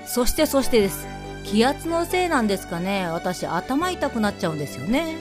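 A woman's voice: on the high side, sing-song, brisk, music in the background. A woman hosting a radio show in bubbly casual talk, with playfully over-polite phrasing.

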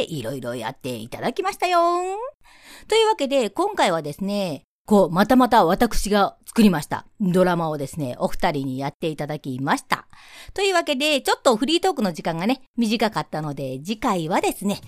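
Animated woman hosting a radio show. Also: lowish voice, no room sound, studio quality. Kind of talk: polite, playful radio hosting.

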